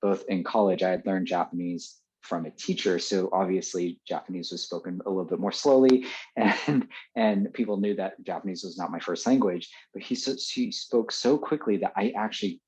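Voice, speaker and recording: A man with an American accent, a podcast guest telling stories in a loose, chatty way, full of fillers and quick laughs. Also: low voice, darkish tone, slightly rough. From Los Angeles, USA. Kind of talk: casual storytelling. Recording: dull lo-fi sound.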